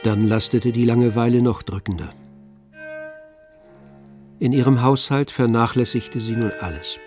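A man narrating a literary radio play in a measured, composed storytelling manner. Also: medium pitch, a little mellow, faint music behind.

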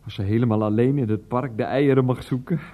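An actor playing a meek, hesitant husband in a radio play. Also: male voice, mid-range voice, fairly even intonation, fast talker, mellow, close to an everyday voice. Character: meek, bumbling husband Freek.

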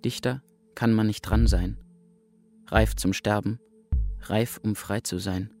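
An actor playing an exhausted camp prisoner in a radio drama, speaking a terse, stark and urgent first-person monologue. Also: male voice, fairly low pitch, steady tone, slow pace, darkish tone. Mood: weary, urgent. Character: exhausted male camp prisoner awaiting liberation. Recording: faint music behind the voice.

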